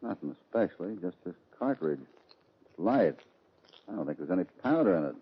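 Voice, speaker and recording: An actor playing a calm, commanding, wry American cowboy hero in an old-time radio drama. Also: male voice, low voice, brisk. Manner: steady, authoritative, dry. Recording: dull, hissy old radio recording.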